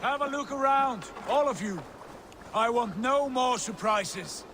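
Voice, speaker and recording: An actor playing a confident, commanding Norse jarl in a video game drama, speaking with authority. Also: male voice, extremely high pitch, lively intonation, unhurried, noisy background, exaggerated voice. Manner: authoritative, declarative.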